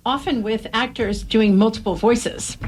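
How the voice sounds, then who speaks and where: A woman's voice: on the high side, steady tone, measured pace. A woman guest chiming in casually during a radio interview. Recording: clear background noise with room ambience.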